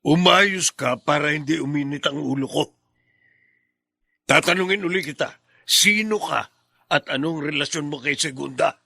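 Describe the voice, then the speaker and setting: An actor playing an angry, jealous husband in a radio drama, stern and threatening, with a gravelly, husky voice. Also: male voice, a little high, moderate pace, pauses often, bright, forceful tone, dry recording, heavily acted. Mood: irritated, stern. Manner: stern, threatening, short-tempered.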